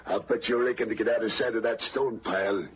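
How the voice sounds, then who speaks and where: A low male voice, an actor's, as a wisecracking, touchy American gangster thug. The slang is rough and ungrammatical, and the old radio recording is dull and lightly noisy. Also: steady tone, quick pace, natural voice. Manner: loose, slangy, ungrammatical tough talk. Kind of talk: 1940s radio acting. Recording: muffled, hissy vintage radio broadcast.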